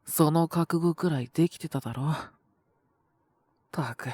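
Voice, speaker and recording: A man voicing a possessive, teasing young half-human wolf man with a cold, in an intimate romantic audio roleplay, breathy and rough-spoken. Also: a little high, unhurried, a little mellow, no echo, studio quality. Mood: teasing, sulky. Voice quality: husky, breathy, often near a whisper. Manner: languid, rough casual speech, teasing. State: sick with a cold, coughing (acted).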